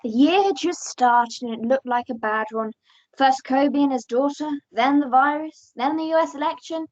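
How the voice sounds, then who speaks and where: A woman's voice: sits high, moderate pace, a little mellow, close to an everyday voice. A young English woman acting in an amateur radio play as a bossy, opinionated aunt recounting past events dramatically. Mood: opinionated storytelling. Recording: dull lo-fi home recording.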